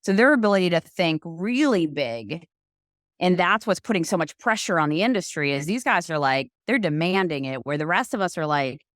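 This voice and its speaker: Low-voiced woman host, rambling and enthusiastic. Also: expressive, normal speed, dry recording, clean studio sound. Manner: enthusiastic, rambling, loose phrasing. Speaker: podcast host. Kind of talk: casual conversational chat.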